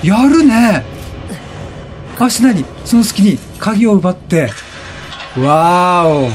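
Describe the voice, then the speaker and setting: High-pitched, animated male game streamer. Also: drawn-out words. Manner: chatty, reactive, repeats short phrases. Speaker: let's play streamer. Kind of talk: casual excitable game commentary. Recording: game music behind the voice. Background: video game music.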